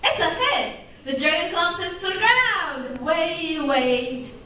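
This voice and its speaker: A woman playing an excitable, loud young comedy character in a student radio play, recorded in an echoey hall from a distant mic. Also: very high voice, takes it slowly, a little hiss or hum, full character voice. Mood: excited.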